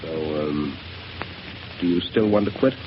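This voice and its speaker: An icy villain mastermind. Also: male voice, deep voice, unhurried, music in the background. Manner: icy, quiet and threatening. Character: cold, ruthless male villain mastermind. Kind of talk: 1930s radio drama acting. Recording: dull old radio broadcast with hiss.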